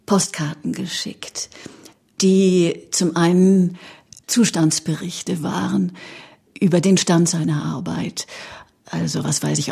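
A woman shares personal memories in a reflective way. Her voice is lowish and husky with plenty of fry. She picks her words with halting care, speaking very slowly. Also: a little mellow. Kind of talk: reflective spoken reminiscence.

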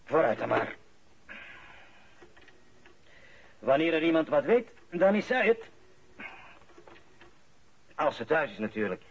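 A man's voice: fairly high pitch, very animated, normal speed, slightly rough, full character voice. An actor with a Flemish accent playing an older father in a radio play, soothing, persuasive and coaxing. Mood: resolute. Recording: muffled radio drama recording.

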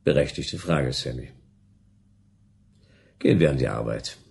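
A man playing a world-weary, dogged private detective in a sci-fi radio drama, with a husky, brooding, terse and wry delivery. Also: a bass voice, expressive, unhurried, a little mellow, exaggerated voice. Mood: lonely, wry. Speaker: lead actor narrating and playing a detective. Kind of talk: German radio drama acting, first-person noir narration.

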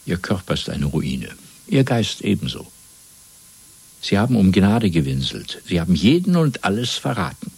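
A man playing a cold, fanatical Party interrogator in a radio drama, speaking in a measured, domineering, rhetorical way. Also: sits low, sing-song, gravelly, a little mellow, breathy edge, dry recording, heavily acted. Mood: cold, cruel. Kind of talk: German radio drama acting.

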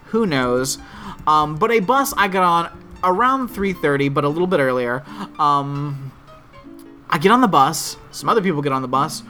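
A man telling a long, animated story in casual chat, swearing freely, with a strong, trained voice. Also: on the high side. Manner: trained projecting voice. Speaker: podcast co-host. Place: Orlando area.

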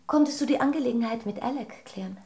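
An actress playing an anxious, suspicious wife in a German radio drama, asking measured, probing questions. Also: female voice, on the high side, creaky, a little mellow, a little hiss or hum. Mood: wary, questioning.

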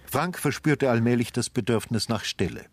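A man's voice: medium pitch, normal speed, no room sound, close to an everyday voice. A male actor as the sober, reflective narrator of a crime radio drama, reading literary third-person prose. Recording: loud music under the voice. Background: music.

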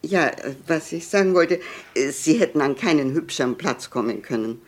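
An elderly society lady in a vintage radio drama, low for a woman. She is snobbish and condescending, rambling through gossip with small hesitations and a polished air of superiority. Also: lively intonation, moderate pace. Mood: condescending, gossipy. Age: older. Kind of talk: 1960s German radio play acting.

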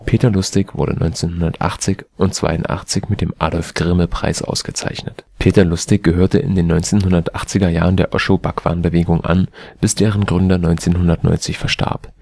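Low, dark-voiced German man reading. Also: flat delivery, brisk, vocal fry, hardly any pauses, no room sound. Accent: standard German. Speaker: volunteer reader of a spoken encyclopedia article. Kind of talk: scripted read-aloud narration.

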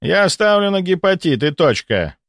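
A male narrator acts out a smug, sarcastic senior doctor for an audiobook. The voice rides high and swings theatrically, full of mockery and self-importance. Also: sing-song, fairly quick, no room sound, clean studio sound. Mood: curt, commanding.

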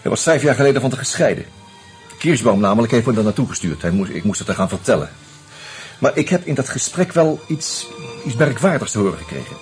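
An actor playing an earnest, troubled man turned amateur sleuth in a Dutch radio play, insistent and halting as he reasons aloud. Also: medium pitch, big pitch swings, soft background music, close to an everyday voice. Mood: brooding, intent. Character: earnest, agitated amateur sleuth, a murdered man's loyal friend.

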